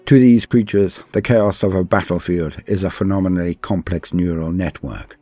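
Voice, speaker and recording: A man's voice: sits low, steady tone, moderate pace, warm tone, no echo, soft background music. A man narrating scripted lore as a host, in a theatrical, ornate and formal manner. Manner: dramatic, ornate, formal address. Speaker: host and narrator of a guide channel.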